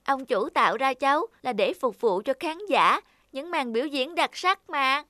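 A high-pitched, eager little child. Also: female voice, lively intonation, normal speed, bright, forceful tone, no echo, studio quality. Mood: anxious, earnest. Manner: childlike, polite. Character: small eager, anxious child in a puppet cartoon. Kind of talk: cartoon dubbing. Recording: music under the voice.

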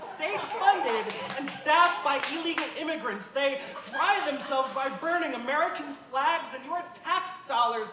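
A man performing slam poetry in an emphatic, declamatory way, projecting his voice to the room. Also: very high-pitched. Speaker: slam poet. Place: live poetry venue. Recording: echoey, noisy live venue, distant mic.

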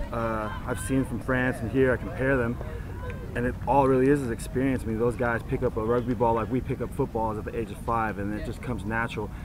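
A man's voice: medium pitch, low-quality recording. A man in his twenties, an athlete, talking casually in an interview outdoors. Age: 20s. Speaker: rugby player. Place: outdoor sports field.

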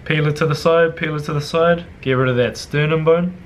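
A young New Zealand man talks through a home cooking demonstration in a loose, slangy way, tossing in casual swearing and muttering some lines under his breath. His voice sits slightly higher than most men's. He is recorded in his kitchen, with a faint background hum. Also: fairly even intonation, a little fast. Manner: laid-back, slangy, swears casually, drops to low mutters. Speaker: cooking vlogger.

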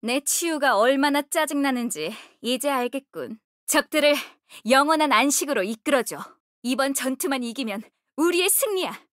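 High-pitched, resolute healer warrior. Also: female voice, lively intonation, moderate pace, few pauses, a bit bright, no echo, clean studio sound. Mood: composed, determined. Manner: solemn, commanding, short crisp delivery. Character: resolute, composed young woman healer and battle guardian. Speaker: game character voice actor. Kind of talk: video game character voice lines.

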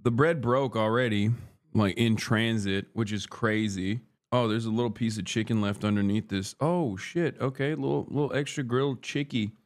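A man's voice: lowish voice, normal speed. Brash man streaming while eating. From Turkey. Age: 30s. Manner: brash, profane, joking, talks with food in mouth. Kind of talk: casual livestream chat while eating. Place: small wood-paneled room, indoors. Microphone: studio mic on boom arm close to face.

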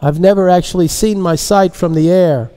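A composed woman scientist in an audiobook, voiced by a man. The voice is pitched up and polite, with the businesslike manner of someone in charge. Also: normal speed, dark tone, clean tone, lightly acted. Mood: pleased. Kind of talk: audiobook character voice.

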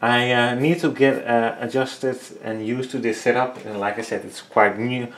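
A man's voice: mid-range voice, fairly even intonation, moderate pace, fairly bright tone. A man in his thirties with a Dutch accent, speaking English as a third language while painting, hesitant and full of fillers. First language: Dutch and Frisian. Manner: hesitant, many fillers, searching for English words. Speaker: painting tutorial host. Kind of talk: casual studio vlog while painting. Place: small home art studio, indoors. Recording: echoey room.

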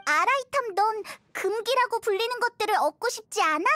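Anime game fairy sidekick: piercingly bright, childlike, curious and naive, close dry recording. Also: female voice, brisk, no room sound, exaggerated voice. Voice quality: squeaky, childlike. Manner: expressive, naive, exclamatory. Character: small childlike fairy companion, curious, excitable, earnest.

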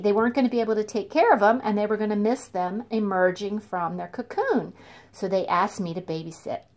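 Slow-paced woman hosting children's storytime. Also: fairly high pitch. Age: middle-aged or older. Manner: expressive, drawn-out emphasis, addresses children directly. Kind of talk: storytime narration for young children.

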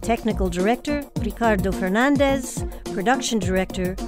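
A woman's voice: medium pitch, normal speed, music in the background. A woman hosting a radio show, reading prepared questions and announcements. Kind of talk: scripted hosting and interviewing.

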